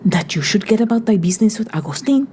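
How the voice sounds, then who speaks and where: A woman's voice: medium pitch, lively intonation, fast talker, darkish tone, slight background noise, pitched above the performer's own voice, close to an everyday voice. A woman voicing a tough, blunt older woman guerrilla who talks in a brusque, dismissive way. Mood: scornful.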